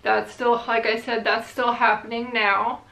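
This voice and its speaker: A young woman vlogging in a relaxed, rambling way, her voice bright and pitched a little high. She speaks slowly, and the room around her gives a noticeable echo. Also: creaky. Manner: chatty, relaxed, rambling with fillers. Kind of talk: casual chat to camera.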